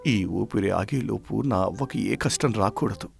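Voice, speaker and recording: A man's voice: fairly low pitch, a little slow, vocal fry, noisy background. A man playing a sick, guilt-ridden village farmhand who hides his suffering in a dramatized moral story, anguished and heavy-hearted. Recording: music behind the voice.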